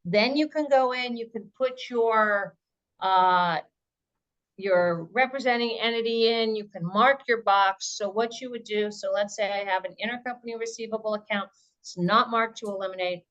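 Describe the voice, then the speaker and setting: Woman, webinar presenter, medium pitch, informal explanatory delivery, thinks aloud, step-by-step answers. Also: moderate pace, a bit bright, highs cut off. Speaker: presenter answering audience questions.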